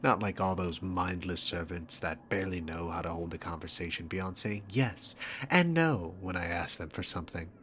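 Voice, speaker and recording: A man's voice: low voice, normal speed, a bit bright, slight background noise. A man playing a dominant, sarcastic demon king villain in a scripted ASMR roleplay, with smug, teasing, theatrical phrasing. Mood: amused, coaxing.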